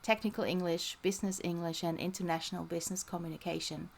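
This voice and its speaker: A woman's voice: fairly low pitch, fairly even intonation, fast, vocal fry. A woman hosting a podcast, opening with an upbeat scripted introduction and then interviewing her guest. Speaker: podcast host.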